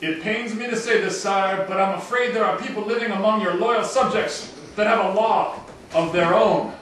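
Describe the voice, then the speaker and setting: A man puts on a cruel, scheming puppet-show villain with a high, pompous, sneering voice. He is theatrical and self-important, heard from a distance in a very echoey room. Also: fairly even intonation, moderate pace, fairly bright tone. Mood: oily, deferential. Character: cruel, scheming male villain, pompous second-in-command to a king. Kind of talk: amateur puppet show acting for children. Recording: echoey room, distant mic, background noise.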